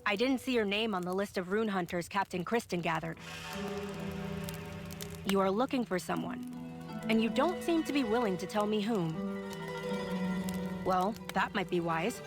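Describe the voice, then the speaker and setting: A woman's voice: medium pitch, expressive, moderate pace, pauses often, lightly acted. Mid-range woman playing shrewd female character, guarded, video game acting, music underneath. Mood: guarded, knowing.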